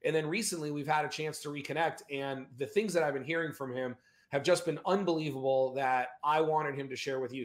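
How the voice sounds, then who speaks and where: Chatty upbeat guy hosting a video chat, bit of echo around him. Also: a little high. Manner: upbeat, chatty, energetic opener. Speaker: host. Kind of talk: casual video interview.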